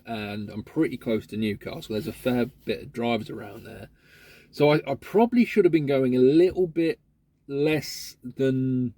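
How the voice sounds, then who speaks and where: Man with a mellow tone, chatty vlogger grumbling about his day with casual swearing. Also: mid-range voice, dry recording. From England. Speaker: van courier vlogger. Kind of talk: casual vlog monologue.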